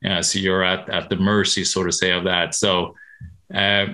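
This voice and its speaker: A man from Sweden, a medical professional answering interview questions haltingly, with many restarts. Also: sits low, little pitch movement, sharp tone.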